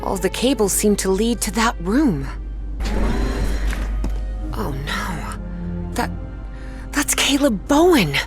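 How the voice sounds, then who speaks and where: A woman playing a determined, anxious and excitable young female adventurer in a dramatic video game. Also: medium pitch, slow, long pauses. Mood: uneasy. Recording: music under the voice. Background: background music.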